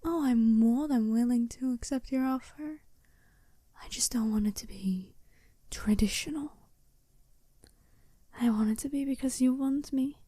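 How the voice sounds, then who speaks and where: Woman playing a slow, soft, mellow seductive werewolf bride. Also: high voice, no echo. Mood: earnest, seductive. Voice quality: soft-spoken, breathy half-whisper. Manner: slow, teasing, deliberate, intimate. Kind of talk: ASMR roleplay.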